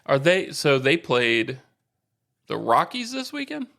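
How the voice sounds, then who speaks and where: A man's voice: medium pitch, wildly swooping pitch, slow, creaky, bright, forceful tone. A man co-hosting a sports podcast in casual, teasing banter, repeating himself for emphasis and swearing now and then.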